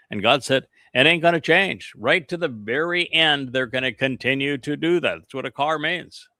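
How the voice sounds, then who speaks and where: A man with a bright, forceful tone delivers an earnest scripture lesson in an emphatic preaching cadence. He drifts into rambling asides with soft chuckles, and the recording is dry and close with little room sound. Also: medium pitch, vocal fry, no room sound. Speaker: religious teacher. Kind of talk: scripture teaching with casual asides.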